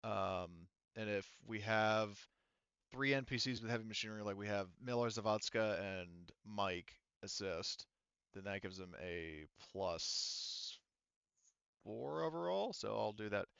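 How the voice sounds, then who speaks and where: A man's voice: lowish voice, a little slow, gravelly, fairly bright tone, clean tone, dry recording, lo-fi sound. A man casually narrating a tabletop game as game master, explaining things in a rambling, informal way.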